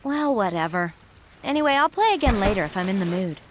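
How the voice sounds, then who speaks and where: A woman's voice: mid-range voice, expressive, fairly quick, lightly acted. A woman playing a sassy, blunt young female adventurer in a video game dub. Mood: irritated. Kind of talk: anime-style video game dub acting. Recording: clear watery noise behind voice.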